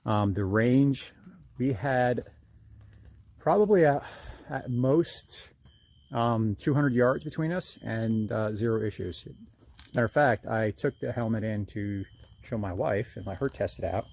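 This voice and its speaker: A man's voice: mid-range voice, measured pace, darkish tone. A man, plain-spoken and conversational, talking through a casual hands-on product review.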